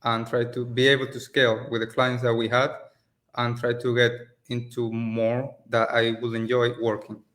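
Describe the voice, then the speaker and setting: A man from Spain, a native Spanish speaker in his late twenties, talking relaxed and casual as a guest on a video call, with loose phrasing and restarts. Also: medium pitch, normal speed. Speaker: agency owner, guest. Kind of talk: casual interview over a call. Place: rented office in Mexico.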